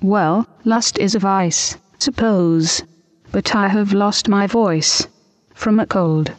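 A woman's voice: mid-range voice, takes it slowly. A synthetic computer voice playing a prim, easily offended French noblewoman with a formal, stilted delivery. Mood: polite. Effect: computer text-to-speech voice.

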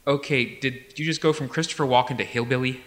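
A man's voice: mid-range voice, fairly even intonation, fast, fairly bright tone, natural voice. A man playing a long-suffering theatre director, dry, wry and articulate, in a comedy sketch. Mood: weary, wry. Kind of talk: audio comedy sketch acting.

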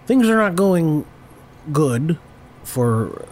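A man with a cold, hoarse and stammering, hosting an improvised comedy podcast and constantly correcting himself. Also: fairly high pitch, sing-song, drawn-out words, darkish tone. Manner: rambling, stammering, self-correcting. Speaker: podcast host.

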